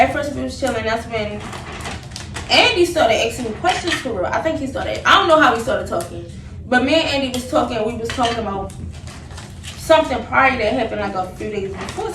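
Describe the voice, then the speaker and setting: Casting: young woman, fairly high voice, casual animated storyteller, roomy noisy recording. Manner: animated retelling with quoted dialogue. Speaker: vlogger. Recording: roomy, noisy, distant microphone.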